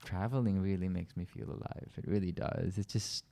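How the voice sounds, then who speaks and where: Young male co-host from the Philippines, low mellow voice, relaxed and joking. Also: brisk, vocal fry. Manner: easygoing, joking, talks in loose run-on thoughts. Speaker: podcast co-host. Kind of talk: casual couple's podcast chat.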